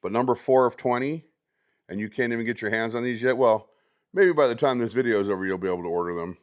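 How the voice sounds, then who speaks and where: A man's voice: mid-range voice, fast. A man talking casually and conversationally as a product reviewer, showing items step by step in an unboxing video.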